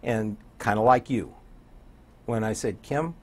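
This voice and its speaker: A man's voice: on the low side, unhurried, a little hiss or hum. A man in his sixties chatting as an interview guest, telling stories in a rambling, conversational way. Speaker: radio program director, guest. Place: small TV studio, indoors.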